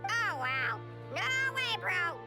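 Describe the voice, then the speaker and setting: Squeaky chirpy baby dinosaur, cheeky mimic, very high, soft music under. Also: female voice, big pitch swings, slow, exaggerated voice. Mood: sassy. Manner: parrots snarky catchphrases. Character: tiny cheeky male juvenile dinosaur mimic.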